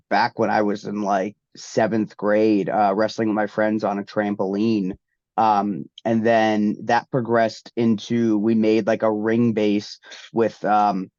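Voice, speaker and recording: A man's voice: fairly low pitch, a little mellow, dry recording. Congested man rambling with ums. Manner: rambling, many ums and restarts. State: congested, getting over the flu. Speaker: guest wrestler. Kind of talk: casual interview answers. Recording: dull, dry lo-fi sound.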